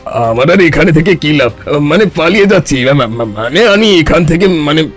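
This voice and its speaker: A man's voice: a little high, animated, moderate pace, lightly acted. A man playing a sly, fraudulent village holy man in a cartoon, pompous and scheming. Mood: flustered. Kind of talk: cartoon story dialogue. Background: music.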